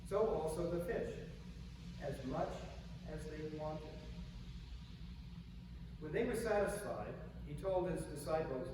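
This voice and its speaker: A gentle, soft-spoken male pastor with a slightly higher voice than most men, reading scripture and preaching in an unhurried way. He sounds far from the microphone, with a long reverb ringing through a big old church sanctuary. A clear hiss of noise sits under his words. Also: animated. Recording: echoey church hall from far off, noisy.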